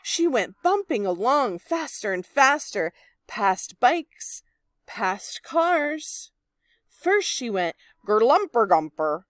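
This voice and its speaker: Female storybook reader, slow and lively in pitch, close dry recording. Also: no echo. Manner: animated, deliberate storytelling. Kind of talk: expressive children's read-aloud.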